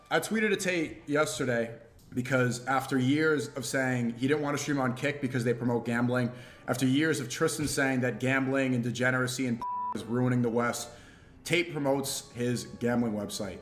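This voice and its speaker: A man's voice: medium pitch, normal speed. A man ranting in a preachy, grandiose way on a streamed clip. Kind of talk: provocative ranting.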